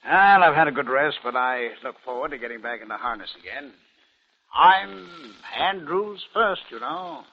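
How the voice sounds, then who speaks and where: A smug, scheming businessman in a vintage radio play. His husky, highish voice swings theatrically with self-satisfaction, heard through a muffled old broadcast. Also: expressive, normal speed. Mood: smug, chatty. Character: boastful, scheming male businessman, smug killer. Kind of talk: 1950s radio drama acting.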